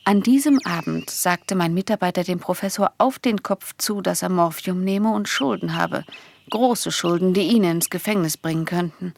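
An actress playing a hardened communist agent in a German radio play, confiding in a condescending, insistent way. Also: female voice, lowish voice, moderate pace, gravelly. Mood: cool, hardened. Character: hardened female communist agent confiding in a naive young lover.

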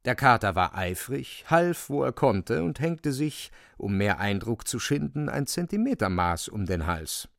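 A man's voice: medium pitch, sing-song, a little slow, gravelly, few pauses, no room sound, studio quality. A man narrating a radio drama in a scripted, theatrical storytelling style.

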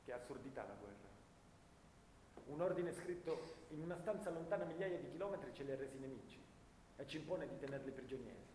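A man playing a haunted, bitter young First World War soldier in a theatrical radio monologue, telling his story dramatically, between hushed and intense delivery. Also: mid-range voice, animated, a little fast, close to an everyday voice. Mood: weary, pitying. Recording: roomy, long reverb, clear background noise.

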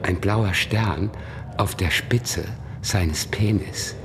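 A man's voice: low-pitched, drawn-out words, background noise, exaggerated voice. An actor playing a coy, evasive male witness under police questioning in a German radio crime drama, by turns dreamy and defensive.

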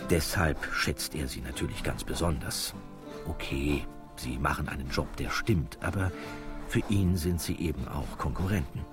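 A man's voice: very deep voice, moderate pace. A man narrating a radio drama from a script, as a wry storyteller given to chatty asides. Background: music.

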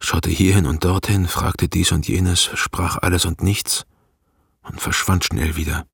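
An actor playing a weary, reluctant herdsman with a husky, gravelly voice in a radio play. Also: sits low, steady tone, measured pace, fairly bright tone, no room sound, clean studio sound, exaggerated voice. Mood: weary, brooding. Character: weary, reluctant male communist herdsman telling his past. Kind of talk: radio play narration and dialogue.

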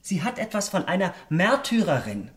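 An actor playing a vain, callous young aesthete in a German radio drama, eloquent and theatrical. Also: male voice, high voice, sing-song, normal speed. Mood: impassioned. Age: young adult.

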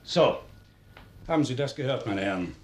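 A man's voice: medium pitch, expressive, moderate pace, slight background noise, close to an everyday voice. Echoey, irritated male boss acted by a man. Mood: irritated, sardonic. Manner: sardonic, demanding. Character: irritated, sardonic male head of scientists. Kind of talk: 1950s German radio drama acting. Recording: echoey room, somewhat distant.

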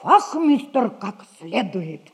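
An actress playing a chatty, fussy old servant woman with a husky voice, sighing folksily in a theatrical audio comedy. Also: medium pitch, very animated, drawn-out words, full character voice. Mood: fussy, explaining. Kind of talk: classic Russian stage comedy acting, audio play.

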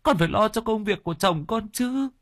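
A man's voice: high-pitched, animated, brisk, fairly bright tone, no echo, clean studio sound, pitched above the performer's own voice. A man doing a tearful, pleading old mother. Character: anxious old mother begging her daughter.

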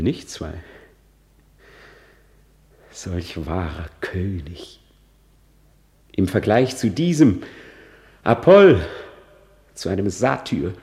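Grieving young prince, bitter, brooding, slow deliberate verse delivery, slightly low voice, radio drama. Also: male voice. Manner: deliberate, declamatory, swinging between hushed and outbursts. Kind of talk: classical German stage-verse radio drama acting.

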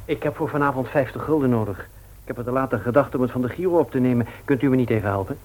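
An actor playing an amiable, slightly sheepish grown-up son in a Dutch radio play, casual and a little hesitant. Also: male voice, mid-range voice, very fast, darkish tone, natural voice. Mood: polite. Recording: noisy old radio play recording.

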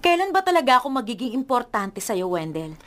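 A high-voiced, pouting young girlfriend. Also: sing-song, a little fast, soft background music. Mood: hurt. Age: young adult. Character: hurt, sharp-tongued, pouting young girlfriend. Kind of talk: radio drama acting.

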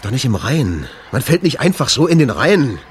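An actor playing an impulsive, stubborn jazz club owner in a radio drama, talking in a colloquial, insistent, curt way. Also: male voice, mid-range voice, very animated, normal speed, a little hiss or hum, natural voice. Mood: shaken. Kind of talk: naturalistic German radio drama dialogue.

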